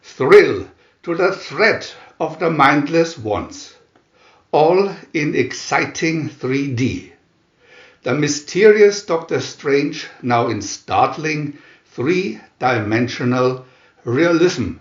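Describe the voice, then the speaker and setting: A man reading comic book captions as a dramatic narrator, announcer-like, with long pauses between phrases. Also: fairly high pitch, expressive, roomy sound, muffled recording. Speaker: narrator reading View-Master booklet captions.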